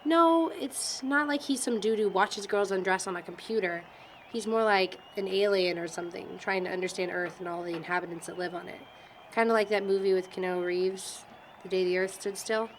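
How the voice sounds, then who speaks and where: A woman's voice: medium pitch, fairly quick, slight background noise, natural voice, low-quality recording. A young woman playing a hesitant, wry character in an audio drama. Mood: thoughtful, uneasy. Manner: hesitant, searching for words.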